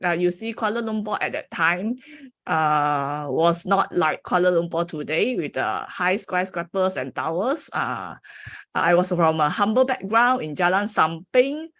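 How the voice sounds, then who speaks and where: A woman's voice: on the low side, lively intonation, unhurried, a bit bright. Malaysian woman, Mandarin first language, engineering lecturer giving a slide talk, hesitant. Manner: hesitant, frequent "okay" and "uh" fillers. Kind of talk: presenting her career story with slides.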